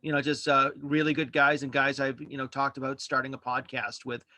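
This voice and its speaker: Male podcast host as interview guest, conversational, sharp bright voice, close dry sound. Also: mid-range voice, little pitch movement, brisk, dry recording. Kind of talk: casual interview chat. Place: indoors at home, on a video call.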